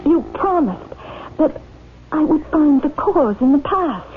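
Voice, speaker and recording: Anguished, pleading hypnosis patient, high voice. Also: female voice, expressive, a little slow, phone-quality sound, slight background noise. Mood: urgent. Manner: pleading, anxious, dramatic. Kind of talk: old-time radio drama acting. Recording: thin band-limited old radio recording, music behind.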